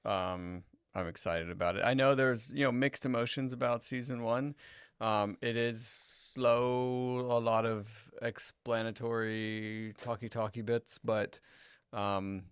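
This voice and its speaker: A man's voice: medium pitch, steady tone, takes it slowly, clean tone, dry recording. Male co-host, dry teasing manner, dead close recording. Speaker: podcast co-host. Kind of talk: casual podcast chat.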